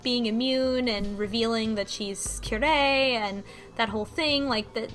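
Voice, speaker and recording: A woman's voice: fairly high pitch, unhurried, a bit bright, soft background music. A woman casually recapping a visual novel on a podcast, with lots of ums and restarts. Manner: rambling, many ums and restarts, laughs often.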